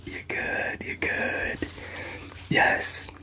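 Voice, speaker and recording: A middle-aged or older man whispers breathily in an ASMR barbershop roleplay, chatting unhurriedly and reassuringly to a client. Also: very slow. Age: 50s or older. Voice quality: breathy, mostly unvoiced whisper. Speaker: ASMR creator role-playing a barber. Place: small room at home, indoors.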